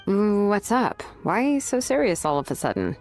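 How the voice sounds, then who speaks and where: Confident casual anime game girl, animated delivery, light music under it. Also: mid-range voice, moderate pace. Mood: curious. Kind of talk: anime-style video game voice acting.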